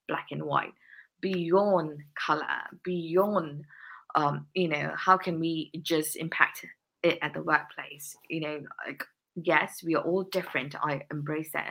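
Podcast guest: woman, lower pitch, bright tone, earnest and laughing often. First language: English. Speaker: guest, workplace diversity consultant. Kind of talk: podcast interview answers.

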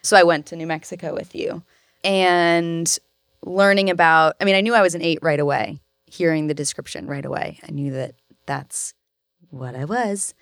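A young woman in her twenties talks casually on a family podcast, her voice low for a woman. She has a dry, wry way of talking. The recording is close and dry. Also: normal speed, no room sound. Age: 20s. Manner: dry, wry one-liners. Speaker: guest, host's daughter.

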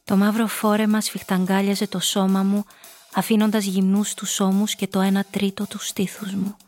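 A cool, seductive femme fatale, played by a woman telling her story in a radio play. She is controlled and intimate, with little rise and fall in a mid-pitched voice, over faint music. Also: flat delivery, a little mellow, natural voice. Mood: self-satisfied, seductive. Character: seductive, cool, calculating woman narrating her own hunt. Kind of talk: first-person radio drama narration.

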